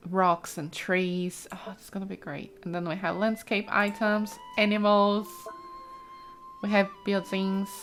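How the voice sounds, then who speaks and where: A woman with a mid-pitched voice hosts a casual gaming let's play. She talks informally and exclaims often, thinking aloud as she goes. Music plays faintly behind her.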